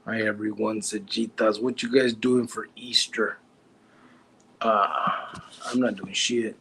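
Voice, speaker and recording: Gravelly man in his forties. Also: on the low side, fairly even intonation, measured pace, a bit bright, rough recording. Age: 40s. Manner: joking, teasing, reads comments aloud. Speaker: livestream host. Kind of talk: casual livestream chat reading comments.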